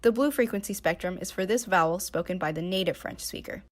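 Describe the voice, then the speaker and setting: A woman's voice: fairly low pitch, fast. A young adult woman reading a scripted narration for a student slideshow presentation. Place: voice-over recorded for slides.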